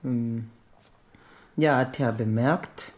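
A woman, not a German speaker, retelling a story haltingly as she searches for words. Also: a bass voice, darkish tone.

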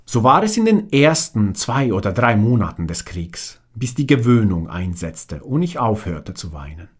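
A man's voice: fairly low pitch, sing-song, normal speed, husky. A man in his forties from Bosnia with a strong Bosnian accent, reading his own memoir as an audiobook in a direct, plain way.

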